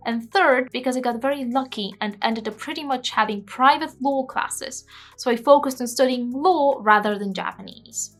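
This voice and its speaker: A woman's voice: sits high, normal speed, soft background music. A woman in her twenties from Bulgaria, a native Bulgarian speaker, narrating a scripted vlog in a candid, self-deprecating way. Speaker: language-learning vlogger.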